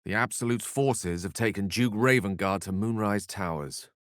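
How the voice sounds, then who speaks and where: An actor playing a learned, courtly wizard in a fantasy video game, theatrical and articulate, with formal, earnest phrasing. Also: male voice, fairly low pitch, moderate pace, a bit bright, dry recording, clean studio sound, close to an everyday voice. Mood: urgent, wary. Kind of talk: scripted fantasy game dialogue.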